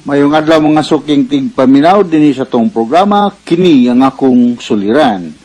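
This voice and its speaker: A man's voice: mid-range voice, animated, moderate pace, mellow. A man with a Cebuano Filipino accent presenting a radio advice program as its doctor host, explaining things conversationally.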